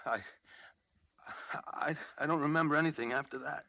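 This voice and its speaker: Stammering, frightened American witness. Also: male voice, on the high side, vocal fry. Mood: shaken, weary. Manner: stammering, halting, anxious. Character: frightened, confused, injured male witness pleading for rest. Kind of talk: old-time American radio drama acting. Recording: muffled old radio broadcast recording.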